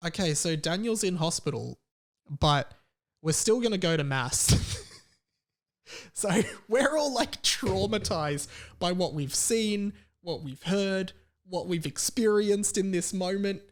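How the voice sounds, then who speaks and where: A man's voice: high-pitched, expressive, slow. A man hosting a podcast, telling a personal story casually and comedically, with dramatic emphasis and repetition. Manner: casual swearing. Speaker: podcast host.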